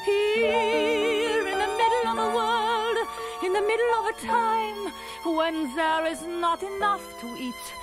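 An actress voicing a wistful blonde showgirl with a French accent, speaking soft, accented broken English in an early 1980s radio play. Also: very high voice, steady tone, slow pace. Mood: singing, wistful. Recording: radio play with loud orchestral music.

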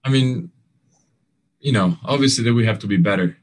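A young male basketball player answering postgame questions over a video call. He speaks earnestly in halting phrases, full of fillers and restarts, with non-standard grammar. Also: on the low side. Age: young adult. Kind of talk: postgame press conference answers.

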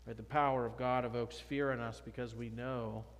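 A man's voice: mid-range voice, steady tone, normal speed. Man in his thirties, pastor, sermon, rhetorical questions, pulpit mic, echoing sanctuary. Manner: conversational, emphatic preaching with rhetorical questions. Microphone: pulpit microphone, far camera. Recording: long church reverb.

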